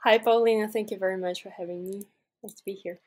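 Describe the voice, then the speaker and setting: Husky young woman from Russia. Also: medium pitch, steady tone, quick pace, a little mellow. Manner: friendly, explains step by step with fillers. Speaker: podcast guest. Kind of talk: casual interview answers.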